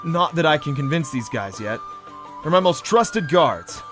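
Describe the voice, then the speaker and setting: A man's voice: a little high, expressive, measured pace, music in the background. A man acting a friendly, modest young pony prince in an audio drama, with an easygoing, earnest manner.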